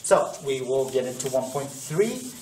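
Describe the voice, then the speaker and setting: Young guy teaching math at a whiteboard, kinda hoarse, rambles and corrects himself, roomy echo, faint hiss. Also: medium pitch, big pitch swings, measured pace, a little mellow. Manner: rambling, self-correcting, thinking aloud. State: strained, losing his voice. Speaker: math tutor. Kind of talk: casual whiteboard lesson. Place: classroom at a whiteboard. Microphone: camera-mounted mic at a distance. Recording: echoey room, distant camera mic, faint noise.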